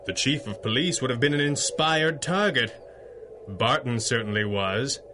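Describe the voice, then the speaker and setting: An actor playing a calm, clever masked superhero in a radio drama, articulate and dryly witty. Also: male voice, mid-range voice, noisy background, natural voice. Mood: coolly explaining. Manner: measured, articulate, wry. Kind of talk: 1930s-style radio drama acting.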